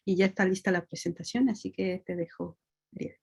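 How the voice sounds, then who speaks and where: Brief: woman, low, flat-toned moderator, steady and explanatory on a call. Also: a little fast, creaky, breathy edge. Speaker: webinar moderator. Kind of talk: introducing a webinar.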